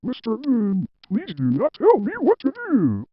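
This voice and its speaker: A man's voice: high voice, wildly swooping pitch, unhurried, dry recording, exaggerated voice. A man playing a stubborn, argumentative office employee in an amateur drama, emphatic and repetitive. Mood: defiant. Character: defiant, stubborn male office employee who argues back. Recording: dull, dry lo-fi audio.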